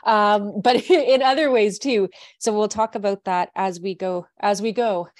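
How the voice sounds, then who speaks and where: A woman's voice: mid-range voice, unhurried, no echo. A woman from Canada hosting a podcast interview, chatting casually, laughing often and restarting her sentences. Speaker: podcast host.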